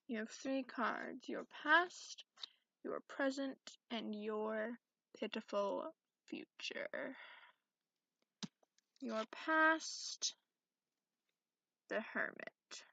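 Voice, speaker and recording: A woman voicing a cranky, sarcastic, centuries-old dragon in a soft-spoken ASMR roleplay, haughty and grudging. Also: a little high, very slow, vocal fry, pauses often, full character voice. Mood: dry, sardonic. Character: cranky sarcastic centuries-old dragon who looks young, reading tarot. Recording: dull lo-fi microphone sound.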